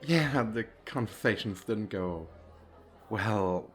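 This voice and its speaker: A man's voice: on the low side, animated, takes it slowly, faint music behind. A man acting a shy, nervous, wealthy businessman on a speed date in an ASMR roleplay, talking in a hesitant, rambling, self-deprecating way. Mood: shy, earnest. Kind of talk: ASMR roleplay, intimate flirty chat.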